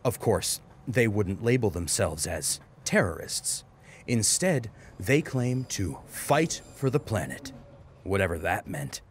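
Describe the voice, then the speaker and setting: A man's voice: fairly low pitch, very animated, takes it slowly, slight background noise. A man narrating a scripted story as a dramatic audiobook reader. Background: music.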